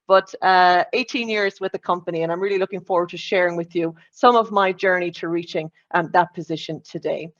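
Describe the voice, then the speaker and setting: A woman's voice: medium pitch, a bit bright, lo-fi sound. A woman in her forties with an Irish accent, giving a personal, conversational conference talk over a remote link. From Ireland. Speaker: conference speaker.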